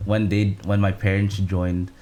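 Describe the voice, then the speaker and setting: A young man from Malaysia, a podcast guest, talking in a laid-back, halting way with many restarts. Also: sits low, level tone, warm tone. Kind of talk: casual chat.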